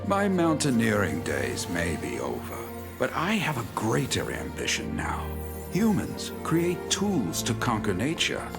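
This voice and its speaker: Elderly weary adventurer, deep voice, slow, solemn game monologue, hopeful, loud music behind. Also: male voice, huge pitch swings, creaky, full character voice. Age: older. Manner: grave, poetic, deliberate. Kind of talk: dramatic monologue in a video game.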